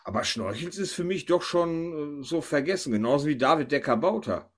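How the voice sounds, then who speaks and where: A man's voice: a little high, lively intonation, a little fast. A man hosting a casual podcast chat, talking informally. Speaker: podcast host.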